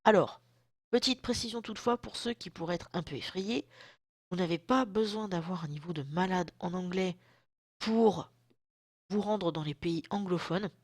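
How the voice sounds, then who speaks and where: A woman's voice: lowish voice, moderate pace, a little mellow, no room sound. Chatty woman in her thirties, dry close sound. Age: 30s. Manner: chatty, self-mocking, loose slangy talk. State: lingering sore throat, occasional coughs. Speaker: vlogger. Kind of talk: casual chat to camera. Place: at home, indoors.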